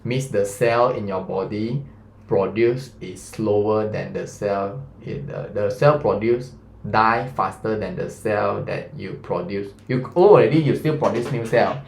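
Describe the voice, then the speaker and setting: A man's voice: on the low side, unhurried, gravelly, reverberant, slight background noise. A man in his thirties with a Malaysian English accent, a science teacher chatting through a revision lesson and quizzing his students. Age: 30s. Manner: chatty, asks students questions, fillers like lah and mah.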